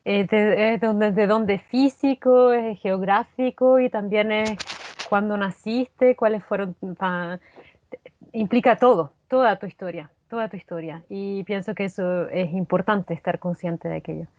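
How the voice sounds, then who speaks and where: A woman with a Chilean accent speaking reflectively and earnestly as an interview guest, halting and repeating words for emphasis. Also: medium pitch, a little mellow, no echo, lo-fi sound. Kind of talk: reflective personal conversation.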